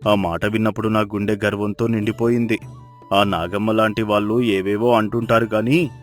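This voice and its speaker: A man's voice: on the low side, little pitch movement, normal speed, soft background music, close to an everyday voice. Man acting a devoted, earnest village husband. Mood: proud.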